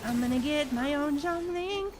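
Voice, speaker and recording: A woman livestreamer chatting casually in a slangy, animated way while gaming. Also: high-pitched, moderate pace, music in the background. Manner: chatty, animated retellings with frequent slangy exclamations.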